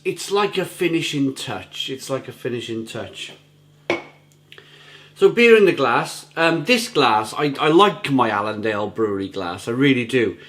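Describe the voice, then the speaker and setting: A middle-aged man with a gruff, slightly rough throat talks casually to camera as he reviews a beer. He is enthusiastic and savoring, often repeating words as he describes the taste. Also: mid-range voice, a bit bright. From England. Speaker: beer reviewer, channel host.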